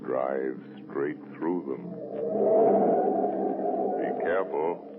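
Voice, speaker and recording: A man playing an invisible, taunting avenger in a vintage radio thriller, menacing his victim with deliberate words on a scratchy old recording. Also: very deep voice, phone-quality sound, exaggerated voice. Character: invisible menacing male avenger haunting a driver. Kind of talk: 1930s radio thriller acting.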